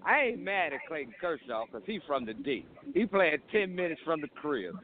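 A man trades jabs on a sports talk show, talking over the others to make his point. His voice sits a little high and swings widely. The sound is thin and tinny, like a phone call. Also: moderate pace. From Arizona. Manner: animated, talks over others. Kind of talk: heated sports banter. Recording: phone-line audio.

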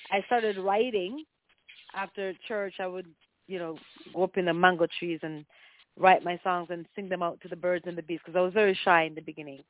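A woman's voice: fairly low pitch, fairly even intonation, brisk. A woman singer from Jamaica, a guest on a radio phone-in, who tells her story in a bubbly way with frequent laughs. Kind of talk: casual radio interview by phone. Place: home in Toronto. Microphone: phone call. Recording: tinny phone line.

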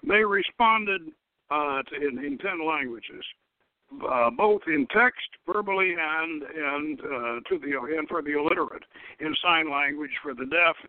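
A man hosting a radio show, giving a dramatic reading of satirical fiction in a halting way, stumbling and rereading phrases. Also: on the high side, no echo. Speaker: radio show host, author reading own novel. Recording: thin phone-like radio line.

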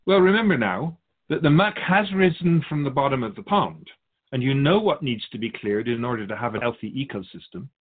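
Male spiritual teacher, mid-range pitch, measured delivery, assured and didactic, philosophical conversational style. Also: animated, phone-quality sound. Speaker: spiritual guide answering questions.

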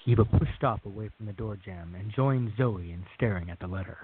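A man's voice: fairly low pitch, fairly quick, a little hiss or hum. A man reading scripted story narration for a radio drama. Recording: muffled, phone-like narrow-band audio.

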